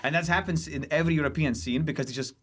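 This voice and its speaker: A man's voice: fairly high pitch, rapid-fire, fairly bright tone. Lithuanian man chatting casually, rambling. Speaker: stand-up comedian, podcast guest. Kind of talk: casual comedy podcast chat.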